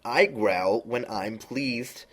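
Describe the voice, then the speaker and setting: A man voicing a sly, grinning male cat in a storybook reading, drawing out his lines slowly and playfully. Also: mid-range voice, fairly bright tone, pitched above the performer's own voice. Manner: slow, drawn-out, playful delivery.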